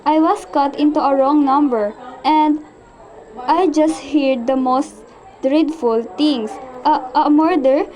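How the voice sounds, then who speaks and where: A woman's voice: high voice, fairly even intonation, slow pace, a little mellow, low-quality recording. A woman playing an anxious, sickly, demanding housewife in an amateur radio play, fretful and insistent. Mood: horrified, urgent.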